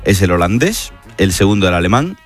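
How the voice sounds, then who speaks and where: A man's voice: sits low, sing-song, a little fast, faint music behind. A man with an accent from Spain hosting a casual radio chat. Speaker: radio show host.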